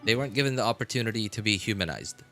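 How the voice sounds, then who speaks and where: A man live streaming casual, unscripted commentary, speaking haltingly and repeating phrases for emphasis. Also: lowish voice, expressive, fairly bright tone, soft background music.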